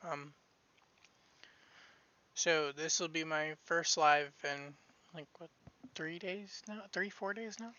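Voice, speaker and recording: A man's voice: fairly high pitch, fairly even intonation, slow pace, pauses often, sharp tone, highs cut off. A man giving a casual update to his viewers after surgery, drowsy, rambling and restarting often, with a scratchy voice. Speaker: streamer giving a health update. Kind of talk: casual live-stream chat.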